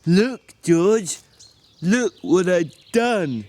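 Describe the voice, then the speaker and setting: A man's voice: high-pitched, big pitch swings, drawn-out words, a little mellow, pitched above the performer's own voice, full character voice. A man playing a huge, simple, childlike and happy male labourer, eager and emphatic, in a dramatic story reading. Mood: excited, proud.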